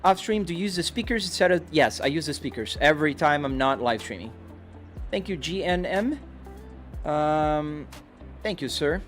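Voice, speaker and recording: A man's voice: on the high side, lively intonation, normal speed. A man from Brazil, a native Portuguese speaker, chatting casually on a livestream as he answers viewer questions from a driving simulator. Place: home office sim rig. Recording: music playing behind the voice. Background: music, car engine sounds from game.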